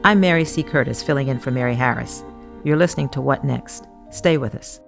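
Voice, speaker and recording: A woman hosts a news podcast in a very low voice with plenty of vocal fry. She moves between scripted narration and interview questions. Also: fairly quick, soft background music. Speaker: guest podcast host.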